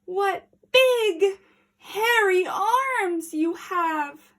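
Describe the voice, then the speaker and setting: A woman's voice: extremely high pitch, expressive, very slow, a bit bright, pitched above the performer's own voice, exaggerated voice. A woman voicing a sweet, trusting little girl from a storybook, speaking in careful, exclaiming phrases. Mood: wary surprise.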